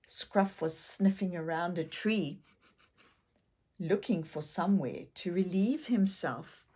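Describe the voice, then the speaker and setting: An older woman narrating a children's story expressively, gently and deliberately, with questions to young listeners. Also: on the low side, husky. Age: older, grandmother.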